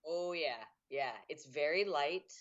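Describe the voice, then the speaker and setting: A young man talks candidly about himself over a muffled, lo-fi call line. He is frank and easygoing, quick to poke fun at himself, like a guest relaxed in a casual chat. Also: a little high. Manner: frank and open, laughs easily, self-deprecating. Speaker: guest, guitarist and guitar teacher. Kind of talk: candid casual interview talk.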